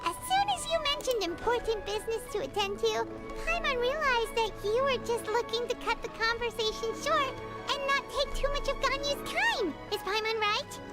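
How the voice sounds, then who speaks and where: A woman voicing a tiny, excitable, childlike fairy companion in a video game, with a perky way of talking. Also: very high-pitched, normal speed, over loud music. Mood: chatty. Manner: refers to self in third person, perky.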